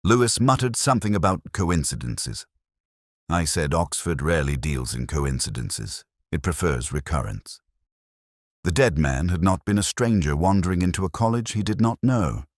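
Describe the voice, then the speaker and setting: A man playing a brooding, introspective English police detective, narrating a mystery in measured, solemn, literary phrases. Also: low-pitched, animated, no room sound, studio quality. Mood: brooding, reflective. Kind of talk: scripted first-person mystery narration.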